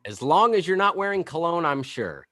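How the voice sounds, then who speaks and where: A man's voice: on the high side, very animated, normal speed, fairly bright tone. A man playing a wry, exasperated middle-aged dad, dry and sarcastic, in a comedy table read. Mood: encouraging, wry.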